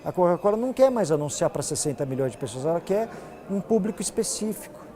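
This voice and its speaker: Higher-pitched, mellow Brazilian man explaining. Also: animated, fast. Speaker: TV news director being interviewed. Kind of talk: interview answers, explanatory.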